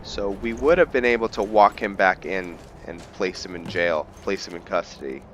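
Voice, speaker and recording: A man's voice: low-pitched, normal speed, dull audio, slight background noise. A man doing casual let's play commentary, thinking aloud to his viewers and slipping into roleplay. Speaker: gaming video host.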